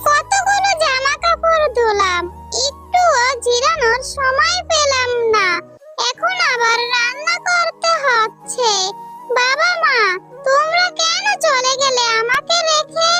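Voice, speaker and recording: Cartoon orphan girl, played by a voice performer: very high, slow-spoken, meek and plaintive. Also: animated, over music, heavily acted. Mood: sorrowful. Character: sad, meek orphan girl, pleading and obedient. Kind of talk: children's cartoon dialogue.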